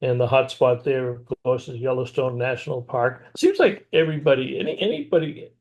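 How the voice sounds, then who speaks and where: Gravelly-voiced American man chatting. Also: mid-range voice, lively intonation, fairly quick, darkish tone, low-quality recording. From New England, USA. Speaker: co-host of an RV news show. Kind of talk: casual news chat.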